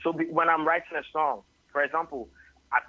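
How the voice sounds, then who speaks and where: A Ghanaian singer-songwriter answering radio interview questions at length, often adding "you know". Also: male voice, a little high, fairly even intonation, a little fast, no echo, narrow, tinny audio. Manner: rambling, tags sentences with "you know". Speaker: singer-songwriter and label head.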